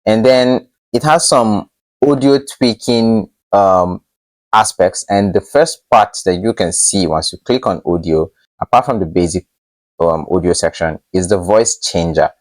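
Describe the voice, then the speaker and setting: A man presenting a casual software tutorial, with somewhat halting, hesitant phrasing. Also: low voice.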